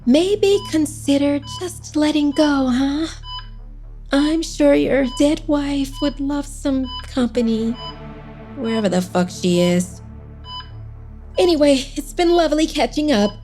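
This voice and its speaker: A woman's voice: sits high, animated, slow pace, long pauses, dark tone, soft background music, heavily acted. A woman playing a smug, cruel schemer in an audio drama, drawling condescending, sugary taunts. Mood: cold menace.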